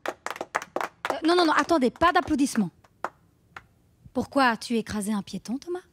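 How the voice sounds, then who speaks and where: High, bossy, gushing group leader. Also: female voice, expressive, normal speed, background noise. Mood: brisk, patronising. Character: brisk, falsely cheerful woman running a support circle. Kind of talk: radio drama, satirical group facilitator.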